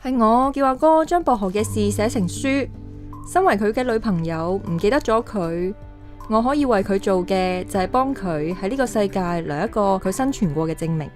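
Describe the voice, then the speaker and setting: A young actress playing a gentle woman haunted by lost love in a radio drama, with a Hong Kong Cantonese accent. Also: mid-range voice, lively intonation, normal speed, faint music behind, natural voice. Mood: wistful, gentle. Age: young adult.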